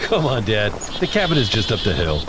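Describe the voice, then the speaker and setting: A chiding grown son. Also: male voice, lowish voice, very animated, fairly quick, heavy background noise. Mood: playful. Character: caring grown son, playful and a bit worried.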